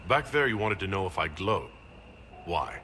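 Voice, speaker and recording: A man voicing a measured male leader in a video game cutscene, asking calm, skeptical questions. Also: sits low, a little hiss or hum, heavily acted.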